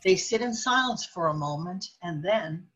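A woman's voice: lowish voice, lively intonation, normal speed, fairly bright tone, breathy edge. A woman reading scripted narration for a radio drama as its storyteller.

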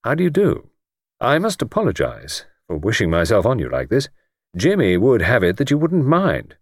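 A man voicing a courteous young gentleman, an ex-policeman, in an audiobook, with a polite, wry manner. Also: mid-range voice, huge pitch swings, normal speed, no echo, studio quality. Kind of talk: audiobook character dialogue.

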